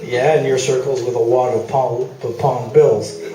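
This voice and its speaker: A man's voice: mid-range voice, fairly even intonation, moderate pace, dark tone, close to an everyday voice. An actor playing a skeptical, needling male sports commentator, wordy and sarcastic in a live comic radio play. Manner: dry sarcasm, mock-formal wordiness. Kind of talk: staged talk-show debate, comic radio play. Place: live theatre, mic at a distance. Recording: echoey, distant stage recording with music.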